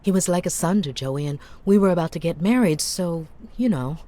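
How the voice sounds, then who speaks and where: A woman's voice: lowish voice, brisk, dark tone, close to an everyday voice. An actress playing an anxious, polite woman in a 1950s noir game, speaking in an earnest, apologetic way. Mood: defensive. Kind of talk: dramatic game dialogue.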